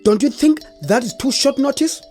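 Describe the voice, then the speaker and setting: Mellow, lively spirit. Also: female voice, sits high, moderate pace, soft background music. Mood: firm. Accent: South African. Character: sensible, bossy female creator spirit. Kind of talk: children's audio theatre.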